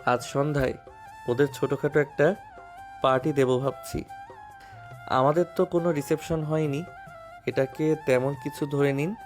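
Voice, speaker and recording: A man's voice: fairly high pitch, steady tone, normal speed, long pauses, natural voice. A man playing a playful, caring young husband and father in a romantic audio drama. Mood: persuading, calm. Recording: music under the voice.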